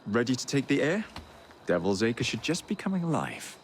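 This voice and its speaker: An actor playing a bold young Victorian London rogue with an English accent, wry, casual and confident. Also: male voice, mid-range voice, sing-song, moderate pace, a little hiss or hum. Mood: cocky, casual. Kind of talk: video game cutscene acting. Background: background music.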